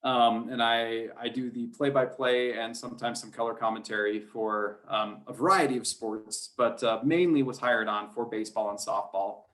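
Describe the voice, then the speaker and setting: Guy guest on a podcast, normal pitch, rambles a bit, good-humored, casual. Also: steady tone, moderate pace, few pauses, fairly bright tone. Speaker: interview guest, sports play-by-play announcer. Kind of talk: casual chat.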